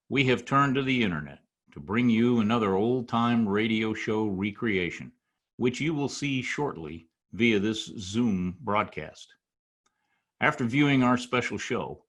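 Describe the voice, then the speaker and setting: Low-pitched man making a formal introduction. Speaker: community radio content director introducing a show. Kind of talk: scripted introduction and fundraising appeal.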